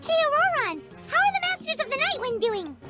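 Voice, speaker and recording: A woman's voice: very high voice, sing-song, a little fast, loud music behind the voice. A woman voicing a small, eager, childlike girl adventurer in a video game, perky and cute. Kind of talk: scripted video game voice acting.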